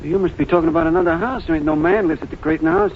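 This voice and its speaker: A man's voice: on the high side, very fast, a little hiss or hum, lightly acted. An actor playing a blunt, folksy local man who talks in a plain country way, in 1940s radio acting. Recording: tinny old radio broadcast.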